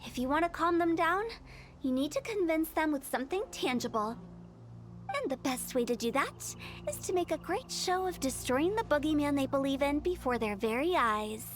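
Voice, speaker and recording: A woman's voice: sits high, animated, normal speed, slight background noise. A woman voicing a confident, theatrical young funeral director in a video game. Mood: amused, knowing. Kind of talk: English video game voice acting. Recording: game music playing behind.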